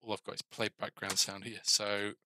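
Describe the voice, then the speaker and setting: A man's voice: on the low side, level tone, normal speed, background noise. A middle-aged man giving a casual coding tutorial, musing and asking himself questions as he works. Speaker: game developer presenting a coding series. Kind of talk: thinking aloud while coding, casual tutorial.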